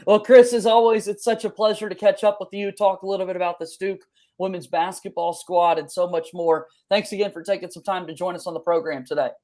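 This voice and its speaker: A man hosts a sports podcast with clear enthusiasm. He speaks in a conversational interview style, setting up topics and handing them to his guest. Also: sits high, fairly even intonation, brisk, darkish tone. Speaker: podcast host.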